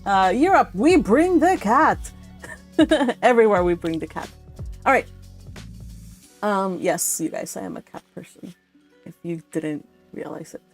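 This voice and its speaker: A woman's voice: mid-range voice, expressive, measured pace, pauses often, faint music behind. A woman hosting a read-along stream, chatting casually and rambling with frequent laughter. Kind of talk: casual chat with participants.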